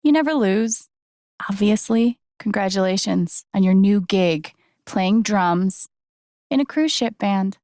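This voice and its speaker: A woman playing a sincere, gently wry young game heroine, with an American accent and an understated, conversational delivery. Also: medium pitch, lively intonation, slow pace, no echo, studio quality. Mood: playful. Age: young adult. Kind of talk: naturalistic video game voice acting.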